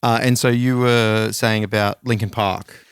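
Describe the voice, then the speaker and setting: A low-pitched man with a smooth, clear voice co-hosts a music podcast. He talks in a relaxed, rambling way, full of "like" and "you know". The close mic sounds dry. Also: unhurried, no room sound. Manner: rambling, filler-heavy, relaxed. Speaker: podcast co-host. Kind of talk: casual podcast chat.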